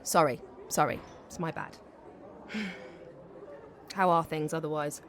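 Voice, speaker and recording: A woman's voice: deep voice, unhurried, a bit bright, slight background noise. A woman playing a successful young British stage actress, a frank, teasing and affectionate friend, in a naturalistic audio drama. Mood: concerned.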